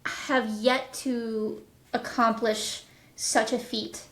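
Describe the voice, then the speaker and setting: A woman speaking earnestly and casually as an interviewed producer, in a measured way. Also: fairly high pitch, level tone, a bit bright. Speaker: executive producer being interviewed. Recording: roomy echo.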